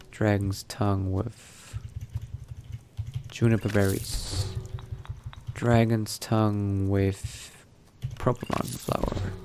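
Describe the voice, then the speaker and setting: A man doing in-character game commentary, thinking aloud in a musing, unhurried murmur to himself. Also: on the low side. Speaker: gamer narrating a roleplay playthrough.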